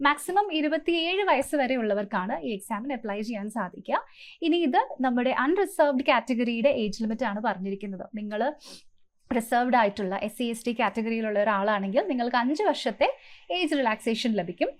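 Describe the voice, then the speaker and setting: A woman's voice: a little high, animated, a little fast. A woman giving an instructive coaching presentation to exam aspirants, mixing in many English terms.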